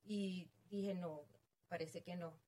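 A woman's voice: lowish voice, fairly even intonation, slow, mellow. A middle-aged woman with a Venezuelan accent, telling her story casually as an interview guest. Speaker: interview guest, real estate agent.

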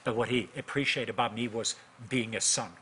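A man's voice: mid-range voice, fairly even intonation, a little fast, pushed and bright, a little hiss or hum. A man in his fifties from Norway with a Norwegian accent, speaking conversationally as an interview guest about his own experience. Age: 50s. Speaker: guest, minister and author.

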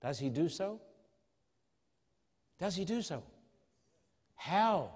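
A man preaching an evangelistic sermon in a solemn, emphatic manner, with dramatic pauses and rhetorical questions. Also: high-pitched, big pitch swings, darkish tone, breathy edge. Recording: long hall reverb.

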